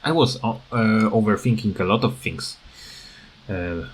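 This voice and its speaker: Slow, hesitant man with a non-native English accent. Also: lowish voice, slightly rough. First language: not English. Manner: hesitant, halting, repeats words. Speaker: gaming video creator. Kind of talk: rambling unscripted game commentary.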